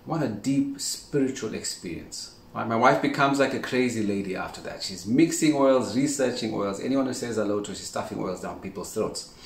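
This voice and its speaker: A man's voice: lowish voice, brisk. A man in his forties from Zimbabwe gives a casual, earnest religious talk to a camera in his home kitchen and keeps checking in with "right?". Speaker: faith teacher. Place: indoors in a home kitchen with a large window. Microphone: camera at a few steps' distance. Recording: roomy, distant sound with faint hiss.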